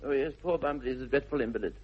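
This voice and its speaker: An actor playing an idle young English gentleman in a 1960s radio comedy, airy, arch and mock-earnest, with an upper-class accent. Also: mid-range voice, racing, breathy edge, close to an everyday voice. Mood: smooth, evasive. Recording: slightly dull vintage radio recording.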